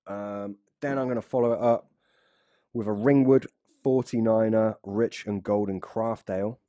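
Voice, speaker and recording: A man's voice: lowish voice, fairly even intonation, normal speed, mellow, no room sound, clean studio sound. A British man hosting a casual interview in a matey, informal way. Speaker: podcast host.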